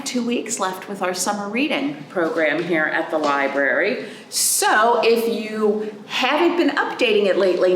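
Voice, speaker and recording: A woman's voice: medium pitch, a bit bright. A woman children's librarian chatting to young children, explaining things simply and asking the listener questions. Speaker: children's librarian hosting virtual storytime. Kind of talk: storytime talk to young children. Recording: roomy echo, clear background noise.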